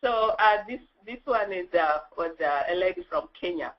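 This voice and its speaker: Halting higher-pitched man. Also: expressive. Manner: halting, searching for words. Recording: muffled, band-limited webinar audio.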